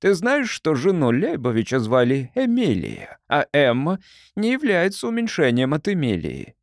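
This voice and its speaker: A man's voice: fairly high pitch, huge pitch swings, moderate pace, dry recording, studio quality. A man voicing a stern, irascible monsignor who questions sharply and suspiciously in an audiobook. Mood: stern, accusing. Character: stern, irascible devil's advocate monsignor, suspicious male interrogator.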